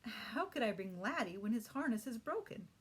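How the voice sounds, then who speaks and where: A woman's voice: fairly high pitch, sing-song, moderate pace, slightly rough, pitched above the performer's own voice. A woman voicing a cheerful, good-humoured lady customer in a children's storybook. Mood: amused.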